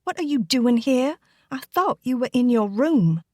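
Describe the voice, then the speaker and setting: Woman voicing a haughty, spiteful young belle, high-pitched. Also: expressive, unhurried, mellow, no room sound, clean studio sound. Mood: sneering. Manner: emphatic, sneering, scornful. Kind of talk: audiobook character acting.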